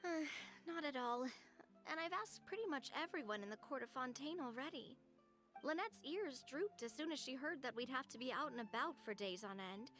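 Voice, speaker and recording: A woman's voice: sits high, expressive, moderate pace, fairly bright tone. A woman voicing a sociable, bubbly young lady in a video game, with a playful, effusive and theatrical manner. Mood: weary. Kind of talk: animated video game voice acting. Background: game music.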